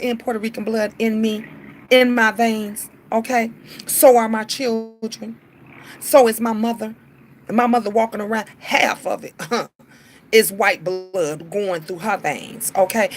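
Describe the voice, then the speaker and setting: A woman, hosting a casual livestream and venting in a fired-up, repetitive way with drawn-out filler sounds. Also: fairly high pitch, fairly bright tone. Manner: emphatic, repeats lines, drawn-out filler sounds. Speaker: livestream host.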